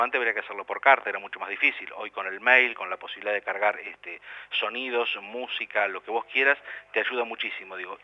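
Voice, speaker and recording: A man with an Argentine Rioplatense accent, speaking as a guest in a radio interview, earnest and full of fillers and restarts. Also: medium pitch. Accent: Rioplatense Spanish. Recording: muffled radio broadcast audio.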